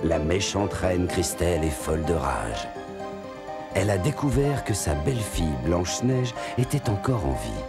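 A man's voice: low voice, big pitch swings, moderate pace. A man narrating a children's cartoon as a grave, dramatic storyteller over music. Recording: loud music behind the narration.

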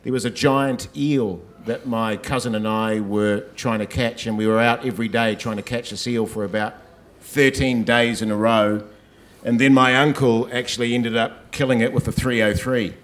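A man's voice: medium pitch, fairly even intonation, normal speed. A man on stage at an awards dinner, bantering and joking into a handheld microphone in a large hall. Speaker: awards show host.

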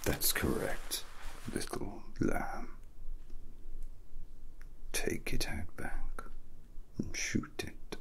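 A young man playing a cold, condescending villain in an ASMR roleplay, murmuring slow, menacing threats in a breathy whisper. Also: rough recording. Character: menacing, coldly calculating male bio-weapon villain, condescending captor.

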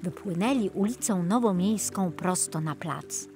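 A woman's voice: fairly low pitch, expressive, a little slow, a little mellow. A woman reading a children's audiobook story as a scripted narrator. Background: music.

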